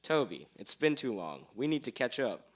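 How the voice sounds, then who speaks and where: A man playing a self-important, gruff detective with theatrical declarations in an amateur radio drama, roughly recorded. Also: mid-range voice, very animated, moderate pace. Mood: businesslike. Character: melodramatic male small-town detective, gruff and self-important.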